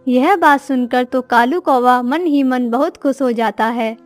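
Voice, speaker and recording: A woman narrating a scripted animated story for children. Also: sits high, unhurried, faint music behind.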